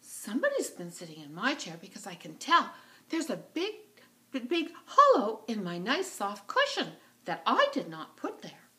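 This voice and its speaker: A woman's voice: on the high side, wildly swooping pitch, normal speed, sharp tone, exaggerated voice. A woman voicing a middle-sized storybook bear who is fussy and put out, complaining emphatically.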